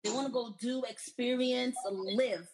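A woman's voice: fairly high pitch, a little slow, darkish tone, breathy edge. An American woman, a guest in a casual interview, talking in an upbeat, chatty way. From United States. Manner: upbeat, chatty, often laughing.

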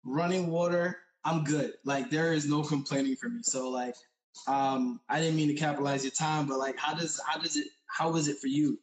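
A man's voice: fairly high pitch, fairly even intonation, moderate pace. Laid-back slangy male interview host. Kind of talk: casual interview chat. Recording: echoey room.

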